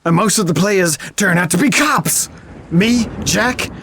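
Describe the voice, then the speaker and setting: A man's voice: high-pitched, lively intonation, unhurried, fairly bright tone, a little hiss or hum, heavily acted. A man playing a brash, hot-headed undercover detective in an audio drama, sarcastic and combative, with a mixed accent that has Irish and London traces. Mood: sarcastic, annoyed.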